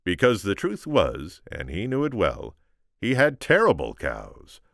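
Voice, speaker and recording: Low voiced guy narrating a storybook, really lively delivery, dry close recording. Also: unhurried, vocal fry, dry recording. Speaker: amateur audiobook reader.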